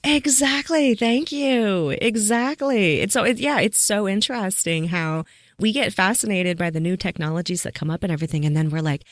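A woman's voice: medium pitch, normal speed, no echo, clean studio sound. A woman hosting a podcast interview, chatty and enthusiastic, laughing often as she asks questions. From American Southwest. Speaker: podcast host. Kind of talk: casual interview.

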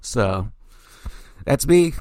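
Hoarse male podcast guest, mid-pitched, dry close mic, rambly and animated. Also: extremely slow, dry recording. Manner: rambling, filler-heavy, animated. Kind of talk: casual podcast chat.

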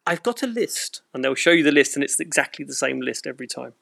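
A man's voice: mid-range voice, lively intonation, quick pace, vocal fry, bright, forceful tone, dry recording, studio quality. A British man in his fifties chatting casually as a podcast guest, telling anecdotes with wry, self-deprecating humour. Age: 50s. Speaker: podcast guest, record shop owner.

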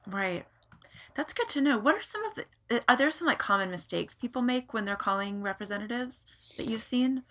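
A woman's voice: fairly high pitch, expressive, a little fast, fairly bright tone. A woman hosting a casual podcast interview and chatting with a guest. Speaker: podcast host.

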